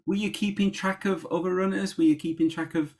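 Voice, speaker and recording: A woman interviewing a runner, asking halting questions with many restarts and encouraging murmurs. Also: on the low side, fairly even intonation, a little mellow. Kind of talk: casual interview.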